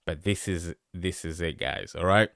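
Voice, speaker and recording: A man with a deep, bassy voice, chatting excitedly with lots of fillers as he reviews microphones on a live stream. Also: moderate pace, creaky, no room sound, studio quality. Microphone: studio dynamic microphone close up.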